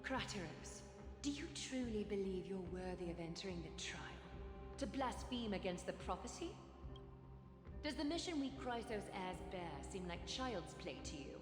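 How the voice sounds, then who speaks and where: A woman's voice: mid-range voice, animated, normal speed, lightly acted. An actress playing a cold, regal woman leader in a game, formal, poised and severe. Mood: cold, accusing. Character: stern, regal female leader, cold and accusing. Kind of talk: video game drama dubbing. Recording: echoey, long reverb, music behind.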